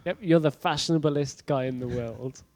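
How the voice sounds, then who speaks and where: A man with a mid-pitched voice casts an esports match from an event stage. He talks in a wry, teasing way, keeping things upbeat while the game is paused. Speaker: esports caster. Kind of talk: casual caster banter.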